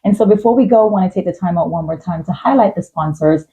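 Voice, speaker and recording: Woman hosting a talk show, lowish voice, really soft and mellow tone. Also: fast, lo-fi sound. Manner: affirming, supportive. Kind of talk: conversational podcast hosting.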